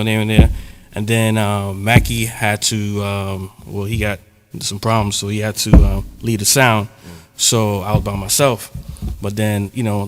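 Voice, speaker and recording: Low-voiced Brooklyn man over noise. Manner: loose, rambling, laughs easily. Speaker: sound system selector. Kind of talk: casual interview chat. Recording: noisy recording with background clicks.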